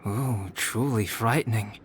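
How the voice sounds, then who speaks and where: An actor playing a calm, cerebral young male alchemist in a video game, speaking in a measured, polite, enigmatic way. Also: fairly low pitch, heavy background noise, lightly acted. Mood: politely amused. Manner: measured, polite, composed storytelling. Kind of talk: game cutscene narration.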